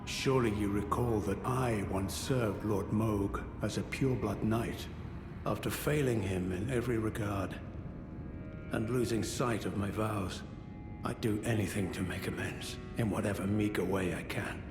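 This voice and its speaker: Fantasy game knight male actor: deep, formal, measured, weighed down by remorse, echoing in a big space over score. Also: steady tone, natural voice. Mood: grave, troubled. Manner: formal, measured, archaic courtly phrasing. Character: grave, courteous former knight, male, burdened by regret. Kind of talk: fantasy video game dialogue. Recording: echoey hall reverb under game music.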